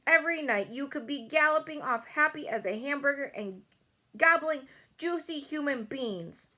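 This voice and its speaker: Woman acting a huge man-eating giant, storybook villain, raised pitch, blustering, bullying, broken comic grammar. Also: lively intonation, moderate pace, sharp tone. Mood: outraged. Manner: bellowing, insulting, mangled comic grammar.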